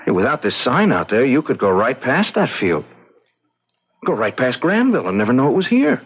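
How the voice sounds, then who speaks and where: An alarmed everyman in a radio mystery, suspicious and emphatic. His husky, medium-pitched voice moves up and down urgently. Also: wildly swooping pitch, fairly quick, heavily acted. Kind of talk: radio mystery drama acting. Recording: dull lo-fi radio recording.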